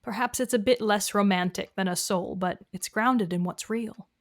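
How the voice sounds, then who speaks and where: A woman playing a composed, gently corrective therapist in an audio drama, speaking in a measured, patient, questioning way. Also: medium pitch, no room sound, studio quality, natural voice. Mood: measured, serious. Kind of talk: naturalistic audio drama dialogue.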